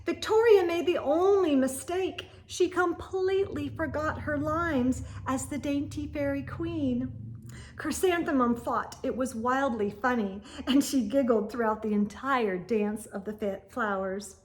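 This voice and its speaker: A woman reading aloud to children as a storytime host, with expressive, dramatic and deliberate phrasing. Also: high voice, poor audio.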